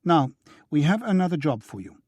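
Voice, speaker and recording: A man's voice: on the high side, big pitch swings, moderate pace, a little mellow, dry recording, clean studio sound, voice pushed up. A man reading a stern, curt, businesslike woman who serves as a secret contact in an audiobook.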